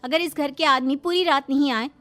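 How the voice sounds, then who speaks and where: A woman voicing an outspoken, indignant young daughter-in-law from the city in an animated moral story. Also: sits high, normal speed, faint music behind, pitched above the performer's own voice. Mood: indignant, defiant. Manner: indignant, argumentative.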